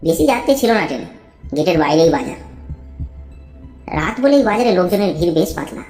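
Low, swooping woman storyteller, roomy echo. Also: sing-song, brisk, darkish tone, faint music behind. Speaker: narrator. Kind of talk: scripted first-person horror story narration.

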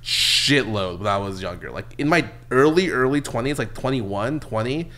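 A man in his early thirties hosts a casual podcast at a close desk mic. His mid-pitched voice moves around a lot, and he talks loosely with plenty of swearing. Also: animated, normal speed, fairly bright tone. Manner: loose, swearing, filler-heavy. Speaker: podcast host. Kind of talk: casual chat. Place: small indoor podcast studio. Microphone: close desk microphone.

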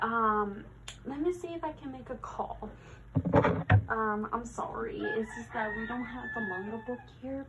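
Slow, soft-spoken woman in noisy ASMR roleplay. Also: fairly high pitch. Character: new female library worker, helpful and hesitant.

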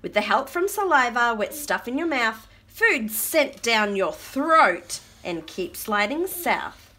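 Bright, lively, high-pitched woman reading to children. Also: slow pace. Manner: expressive, child-directed, questioning and repeating. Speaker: adult reading a picture book aloud to children. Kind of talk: read-aloud storytime with chat to small children. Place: carpeted floor indoors at home. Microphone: camera looking down at the book.

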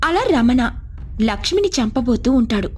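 A woman's voice: fairly high pitch, sing-song, moderate pace, slightly rough. A woman storyteller reading a scripted moral tale as narration.

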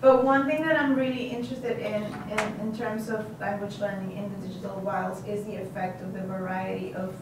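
A woman's voice: mid-range voice, steady tone, moderate pace, few pauses, heavy background noise. A woman whose first language is Spanish, asking a hesitant question from the audience with many restarts. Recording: distant voice in echoey room.

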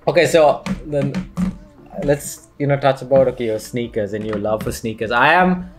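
A young man from Sri Lanka hosts a relaxed podcast chat at a middle pitch. He talks hesitantly, full of fillers and restarts, and laughs easily, with faint music under the voice. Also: moderate pace. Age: young adult. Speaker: podcast host. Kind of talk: casual interview chat.

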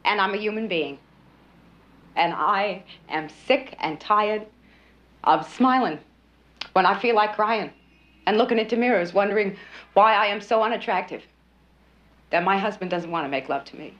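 A woman's voice: medium pitch, moderate pace, long pauses, fairly bright tone, natural voice. Actress doing a fed-up lady cop, lets it all out, muffled tv audio. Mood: anguished. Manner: emotional, outspoken. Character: woman police officer, fed up with her marriage. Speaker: police detective.

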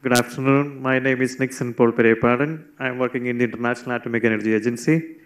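Flat, formal male system administrator. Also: medium pitch, fast talker. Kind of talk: self-introduction. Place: large seminar room. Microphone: handheld mic.

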